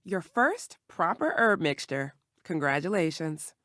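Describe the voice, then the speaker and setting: A woman playing a careful herbal shopkeeper in a video game, instructive and gentle. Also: on the low side, big pitch swings, unhurried, no room sound, clean studio sound. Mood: encouraging, explanatory. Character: calm female herbal shopkeeper, patient, careful mentor.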